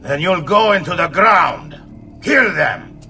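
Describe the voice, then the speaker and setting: An actor playing a threatening, commanding villain in a video game cutscene. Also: male voice, high-pitched, lively intonation, takes it slowly, gravelly, sharp tone, soft background music, heavily acted. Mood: menacing, commanding. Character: menacing villainous commander barking threats at enemies.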